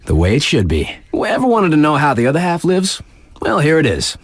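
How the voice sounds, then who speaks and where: A man's voice: a little high, wildly swooping pitch, fairly quick, husky, dry recording, clean studio sound, heavily acted. A man with a North American accent playing a wry, laid-back young radio DJ at a snowboard resort in a video game, delivering scripted patter casually and tongue-in-cheek. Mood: laid-back, hyped.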